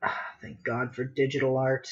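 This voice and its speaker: A man's voice: mid-range voice, level tone, normal speed, lo-fi sound. A man chatting casually on a drawing livestream, rambling to himself in a playfully dramatic way. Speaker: streamer, digital artist.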